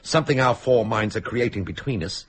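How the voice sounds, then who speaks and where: A man's voice: fairly low pitch, a little fast, a bit bright, breathy edge. An actor playing a level-headed, wry man taking charge in a radio horror play, decisive and articulate. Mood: reasoning, firm.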